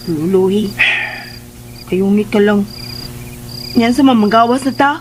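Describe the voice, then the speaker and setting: An actress playing an excitable, whiny young household woman in a Philippine radio drama, with a Filipino accent. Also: mid-range voice, a little slow, a little hiss or hum, natural voice. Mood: chatty. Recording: music behind the voice.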